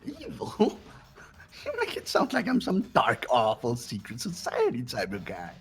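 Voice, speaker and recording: Gravelly, gleefully sadistic bear. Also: mid-range voice, huge pitch swings, measured pace, faint music behind, exaggerated voice. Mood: mocking. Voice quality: gravelly, raspy. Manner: taunting, theatrical, cackling. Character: sadistic gleeful mechanical bear, mocking mastermind of a killing game. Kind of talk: fan voice acting over a game playthrough.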